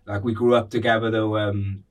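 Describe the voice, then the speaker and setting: A Cardiff man with a lowish voice and very little melodic movement, telling stories as a podcast guest. His talk is casual and slangy, with repeated phrases. He sounds a little way off from the microphone. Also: flat delivery, normal speed, distant mic. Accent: Cardiff Welsh. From Cardiff, Wales. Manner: informal, slangy, repeats phrases, frequent 'like' and 'bro'. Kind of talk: casual conversational storytelling.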